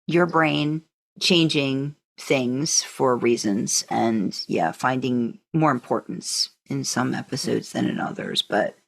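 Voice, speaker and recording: A woman's voice: very deep voice, slow pace, creaky. A woman co-hosting a podcast, talking casually and emphatically as an enthusiastic fan. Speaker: podcast co-host.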